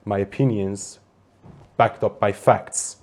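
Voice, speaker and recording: Iran-born commentator, 30s, lower voice, earnest and fluent as an interviewee. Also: male voice, fairly even intonation, slow pace, a little mellow. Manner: earnest, fluent, talks at length. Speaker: political commentator. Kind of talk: interview answers.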